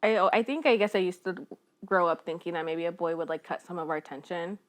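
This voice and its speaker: A woman's voice: lowish voice, quick pace. A woman in her mid twenties chatting casually as a podcast guest, informal and a little tentative in her phrasing. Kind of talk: casual chat.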